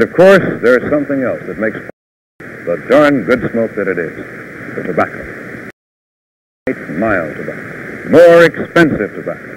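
A middle-aged American man hosting a 1950s television news show, with polished, deliberate announcer delivery. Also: medium pitch. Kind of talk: 1950s live TV news and sponsor pitches. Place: television studio. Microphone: lapel or tie microphone. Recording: noisy vintage 1950s television broadcast.

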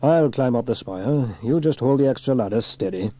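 A man's voice: mid-range voice, expressive, moderate pace, warm tone, natural voice. A man voicing a brave, cheerful firefighter hero in a children's storybook, upbeat and reassuring. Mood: determined.

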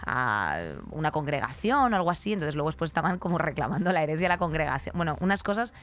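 A woman in her thirties with a fairly low voice and a Spanish accent from Spain. She chats candidly as a podcast host, blunt and emphatic, swearing freely. She sits close to a studio microphone. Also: expressive, quick pace, a bit bright. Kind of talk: candid, opinionated podcast chat. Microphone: close studio microphone on arm.

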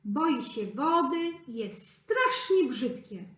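A woman's voice: high voice, big pitch swings, slow, full character voice. A woman voicing a bossy neighbour duck who insists she knows best. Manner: insistent, know-it-all. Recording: echoey room.

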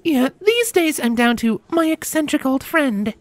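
A man voicing a calm, sardonic woman who teases in a dry way, in a story. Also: extremely high pitch, a little slow, dry recording, voice pushed up, exaggerated voice. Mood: dry, teasing. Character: dry, unflappable woman, teasing ex-wife.

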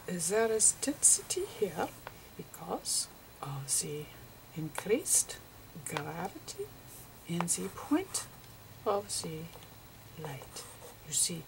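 A woman voicing Einstein as a gentle, eccentric old physicist in a whispery, halting ASMR lecture with repetitive professorial asides. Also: lowish voice, creaky, noisy background, heavily acted.

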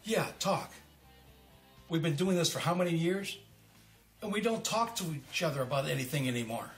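An actor in his sixties playing an earnest, guilt-ridden older American man, halting and hesitant, in naturalistic film dialogue. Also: fairly high pitch, animated, faint music behind. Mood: exasperated. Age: 60s.

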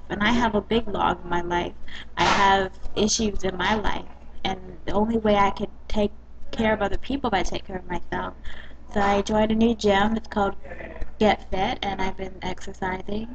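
A woman's voice: medium pitch, noisy background. Girlish-voiced woman vlogging on a webcam. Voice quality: light, girlish voice. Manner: chatty and upbeat, with frequent ums. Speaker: dance company director and vlogger. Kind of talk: casual webcam vlog. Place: small indoor room, coffee shop. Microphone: built-in webcam mic.

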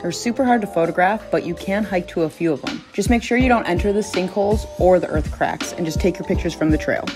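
A woman's voice: on the low side, quick pace, few pauses. A woman in her twenties from the United States, narrating a travel vlog in a chatty, enthusiastic way. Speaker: travel vlogger. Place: home office, indoors. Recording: voice with loud background music.

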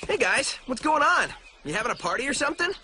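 A man's voice: very high-pitched, sing-song, fast talker, background noise. A man playing a cocky, upbeat young hedgehog hero in a video game, breezy and confident. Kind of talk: video game cutscene acting. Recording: music behind the voice.

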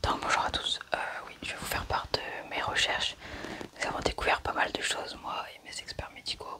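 A young woman, whispering softly and haltingly in an ASMR roleplay. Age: young adult.

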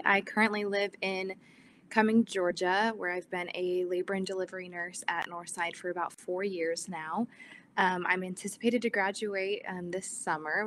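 A woman's voice: lowish voice, fairly bright tone, a little hiss or hum. A young woman on a video call, telling her story earnestly as a nursing student on a panel, with some fillers. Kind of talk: panel talk.

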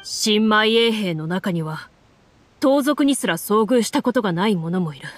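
A woman voicing a composed game master, narrating a grim fantasy tale in a measured, solemn way. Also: mid-range voice, lively intonation, dry recording. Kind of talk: scripted tabletop story narration.

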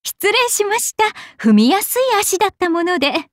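Polite lil maid type, high voice, deadpan snark, game character. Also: female voice, normal speed, no room sound, clean studio sound. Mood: prim, sardonic. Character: prim, sharp-tongued young female attendant.

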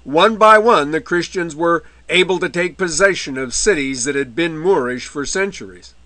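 A man giving an illustrated history lecture in a measured way, with the odd wry aside. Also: a little high, bright, forceful tone, no echo. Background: faint medieval music.

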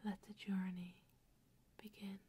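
A woman narrating a scripted fantasy ASMR intro in a breathy whisper, measured and solemn like a storyteller. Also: medium pitch, flat delivery, warm tone.

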